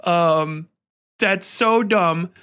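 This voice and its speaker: A man hosting a podcast, giving casual, rambling film commentary in an excitable, emphatic, sweary way. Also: sits high, very slow, clean tone. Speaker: podcast host.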